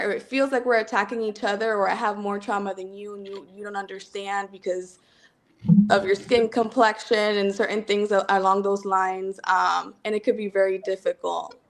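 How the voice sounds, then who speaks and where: A woman from the Dominican Republic, a native Spanish speaker, speaking hesitantly and reflectively with many pauses on a phone video call. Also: medium pitch, steady tone, vocal fry. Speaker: guest. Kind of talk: casual conversation on a video call.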